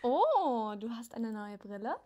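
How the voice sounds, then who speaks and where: Woman playing a cheeky high-voiced policewoman. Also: big pitch swings, unhurried, darkish tone. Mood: teasing. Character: cheeky, casual female police officer at station. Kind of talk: radio play acting.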